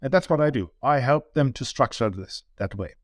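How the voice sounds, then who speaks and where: A man's voice: mid-range voice, expressive, moderate pace, dry recording. German-accented man, dry close sound. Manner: earnest, many small restarts. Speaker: guest, business owner. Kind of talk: casual interview talk.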